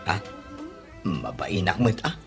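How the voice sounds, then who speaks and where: A man's voice: sits low, big pitch swings, slow, over loud music, full character voice. A man playing a brash, comic tricycle driver and eager suitor in an Ilocano radio comedy drama. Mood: teasing.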